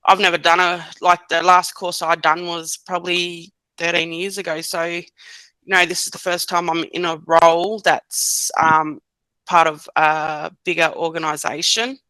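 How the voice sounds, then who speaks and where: A woman's voice: fairly low pitch, level tone, unhurried, sharp tone, smooth, no room sound, low-quality recording. A woman in her thirties, a podcast guest giving short, hesitant answers with many fillers. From Australia. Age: 30s. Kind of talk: casual podcast interview.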